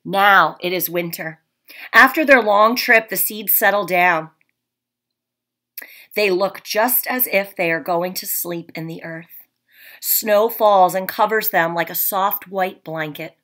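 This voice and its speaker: Bright-voiced teacher reading aloud. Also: female voice, medium pitch, slow. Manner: gentle, expressive storybook reading. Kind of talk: read-aloud for young children. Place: home room, indoors.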